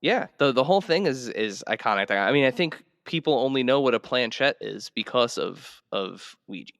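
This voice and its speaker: A man's voice: mid-range voice, expressive, normal speed, vocal fry, a bit bright, no room sound, clean studio sound. A man hosting a podcast in casual chat, talking in rambling, hesitant phrases with repeated words. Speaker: podcast host.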